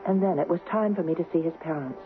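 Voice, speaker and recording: An actress playing an earnest, hesitant young schoolteacher in love, in a 1970s radio drama. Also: female voice, lowish voice, fast talker, soft background music, close to an everyday voice. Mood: narrating, relieved. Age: young adult. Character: earnest young schoolteacher, a woman engaged to a rich heir. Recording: muffled, noisy 1970s radio broadcast.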